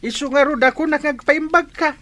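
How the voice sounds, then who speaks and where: An actor playing a lively, plain-spoken, fatherly older man in an Ilocano audio drama. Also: very high voice, brisk. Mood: animated, urging. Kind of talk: Ilocano radio-style audio drama acting.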